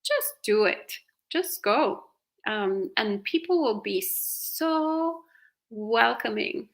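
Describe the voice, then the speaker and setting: A woman with an Italian accent hosting a casual live question-and-answer chat, talkative and quick to laugh. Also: fairly high pitch, lively intonation, gravelly. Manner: chatty, laughs often, fillers like "you know". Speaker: host reading viewer questions.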